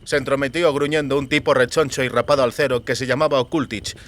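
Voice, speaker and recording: A man's voice: medium pitch, fairly even intonation, fast, fairly bright tone. A man reading scripted literary narration for a fiction audiobook.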